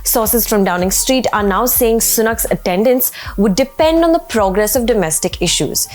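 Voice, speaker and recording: A woman presenting a scripted news explainer in a measured, explanatory manner. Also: on the high side, animated, a little mellow, faint music behind.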